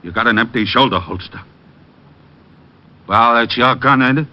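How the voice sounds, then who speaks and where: An actor playing a vengeful gangster boss in an old radio crime drama, with tough, slangy, threatening talk. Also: male voice, mid-range voice, normal speed, lightly acted. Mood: bitter, grieving. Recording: muffled old radio recording.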